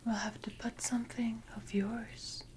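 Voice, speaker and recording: Siren, female performer: haughty sea predator for ASMR roleplay, soft dark whisper, unhurried and condescending, dripping water behind. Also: on the high side, little pitch movement, close to an everyday voice. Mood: solemn, commanding. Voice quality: breathy, mostly unvoiced whisper. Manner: slow, deliberate, condescending, archaic phrasing. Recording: noisy ambience with water drips.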